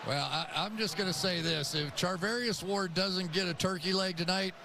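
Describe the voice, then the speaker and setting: A man's voice: sits high, normal speed. A man with an American accent giving conversational football analysis on a game broadcast. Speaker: football color commentator. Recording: game broadcast audio with clear noise.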